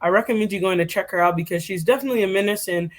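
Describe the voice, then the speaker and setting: A woman's voice: medium pitch, rapid-fire. A young woman co-hosting a podcast, giving a casual, chatty rundown full of fillers and restarts. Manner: chatty, filler-heavy, self-correcting. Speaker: podcast co-host. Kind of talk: casual presentation.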